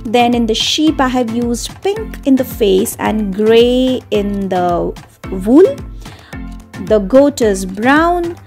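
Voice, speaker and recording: A woman narrating a step-by-step drawing tutorial for children in an unhurried, explanatory way. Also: a little high, lively intonation, darkish tone, faint music behind.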